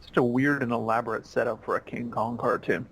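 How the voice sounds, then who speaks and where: A man's voice: mid-range voice, fairly even intonation, a little fast, a bit bright. A man co-hosting a casual cartoon commentary, chatting in an informal, somewhat hesitant way. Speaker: commentary co-host.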